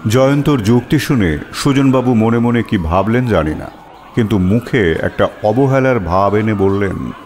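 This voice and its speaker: A man narrating a scripted detective story as an expressive, descriptive storyteller. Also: on the low side, normal speed, slight background noise.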